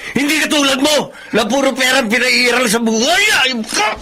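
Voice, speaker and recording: A man's voice: extremely high pitch, normal speed, pushed and bright, a little hiss or hum. A man with a Filipino accent acting in a radio drama as a hot-tempered, principled fisherman, with combative, emphatic delivery. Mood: furious.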